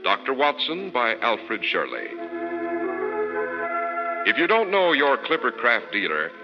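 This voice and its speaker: Animated American old-time radio announcer man. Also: mid-range voice, unhurried, music in the background. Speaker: radio announcer and sponsor's spokesman. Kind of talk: 1940s radio announcing, scripted. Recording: muffled, reverberant old radio broadcast.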